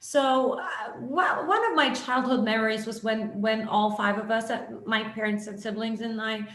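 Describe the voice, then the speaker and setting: A woman from Lebanon in her thirties with a Lebanese accent, speaking as a webinar guest over a video call and telling her story in a warm, reflective way. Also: on the high side, moderate pace, roomy sound, a little hiss or hum. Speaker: guest, minister in training. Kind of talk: casual webinar conversation. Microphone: video call mic.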